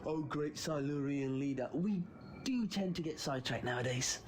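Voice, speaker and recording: A man's voice: on the high side, lively intonation, normal speed, noisy background, lightly acted. A man with a British accent playing a wry, confident time traveler in a sci-fi audio drama, theatrical and flippant. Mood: playful. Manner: flippant, theatrical, swinging from playful to grave.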